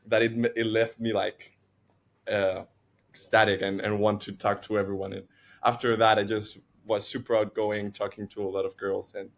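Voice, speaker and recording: A man from Chile, a native Spanish speaker, telling a story on a podcast in a halting way with many restarts and fillers. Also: on the low side, flat delivery, vocal fry. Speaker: podcast co-host. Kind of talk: casual storytelling.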